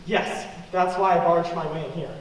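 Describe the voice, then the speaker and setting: A man's voice: on the high side, normal speed, lightly acted. A man playing an earnest young fugitive wrongly accused of murder in an old-time radio play. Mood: urgent pleading. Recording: echoey hall, far from mic, noisy.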